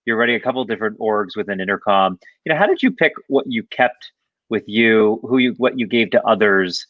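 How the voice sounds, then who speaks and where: A man's voice: fairly low pitch, animated, a little fast, no echo. A man moderating an interview in a casual, conversational way, heard through lo-fi audio.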